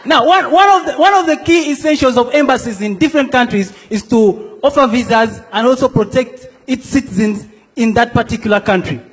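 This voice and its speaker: A man's voice: very high voice, brisk, long reverb tail, a little hiss or hum. A young man from South Sudan performing a stand-up comedy set, playfully acting out the people in his stories. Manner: playful, acts out quoted characters. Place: comedy stage in a large hall before a laughing audience.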